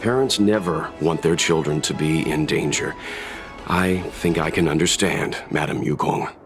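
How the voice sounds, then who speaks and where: A man voicing a calm, thoughtful companion in an English video game dub, measured and polite as he gives gentle counsel. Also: rumbling low. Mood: reflective, gentle. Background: background music.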